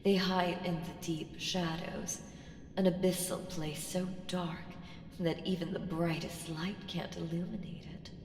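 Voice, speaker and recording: Woman acting as ancient evil female entity, sly, seductive, lowish pitch, very slow teasing delivery, ASMR audio roleplay, whispered phrases. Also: steady tone, a little mellow. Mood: coaxing, ominous. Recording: reverberant hall effect, faint noise.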